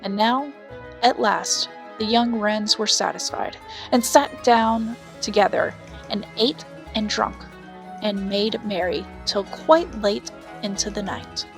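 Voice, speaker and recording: A woman's voice: mid-range voice, slow, gravelly, soft background music. A woman reading a scripted children's fairy tale as an audiobook narrator.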